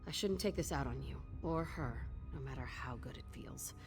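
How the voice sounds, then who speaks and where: A woman's voice: extremely low pitch, expressive, normal speed. An actress playing a weary, guilt-ridden woman who leads a thieves' guild in a video game, speaking in an earnest, terse, commanding way. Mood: weary, relenting. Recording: long reverb, music behind.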